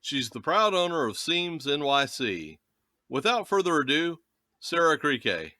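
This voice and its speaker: A man's voice: a little high, big pitch swings, measured pace, sharp tone, no room sound, studio quality. A man hosting an interview show, giving polished, formal introductions and questions. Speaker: show host.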